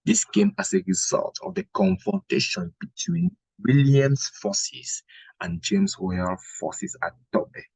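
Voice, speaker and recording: A Cameroonian man teaches an exam class in a rough, very husky voice. His pitch moves in a lively way as he explains points didactically and repeats key phrases. The sound is close and dry. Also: dry recording, poor audio. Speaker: history teacher. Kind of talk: exam revision lesson.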